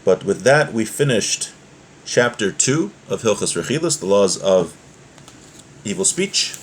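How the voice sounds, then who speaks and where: A man's voice: on the low side, very animated, unhurried. Man teaching religious law, noisy recording. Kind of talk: text lesson, reading aloud then translating and explaining.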